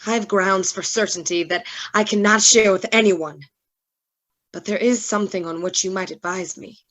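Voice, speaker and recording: A woman playing a tormented, remorseful gentleman doctor with formal, anguished delivery in a gothic radio drama. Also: mid-range voice, moderate pace, lightly acted. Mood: troubled, guarded. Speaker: actor in a radio play.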